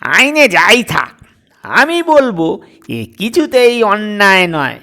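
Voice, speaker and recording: Man acting fussy, excitable older lawyer, swooping pitch. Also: takes it slowly, exaggerated voice. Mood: excited, indignant. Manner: emphatic, rambling, declamatory. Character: fussy, talkative older father-in-law, lawyer, easily excited.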